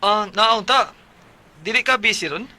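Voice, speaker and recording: A man's voice: high voice, unhurried, pushed and bright, exaggerated voice. A man acting as a bashful, lovestruck security guard in a Bisaya radio drama, hesitant and pleading, with a Cebuano accent. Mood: pleading, tender.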